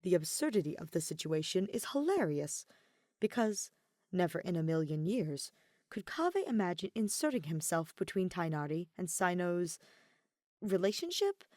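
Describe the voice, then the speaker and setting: A woman reading a story aloud as narrator, in an expressive scripted style. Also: fairly low pitch, normal speed, dry recording, clean studio sound. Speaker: podfic narrator.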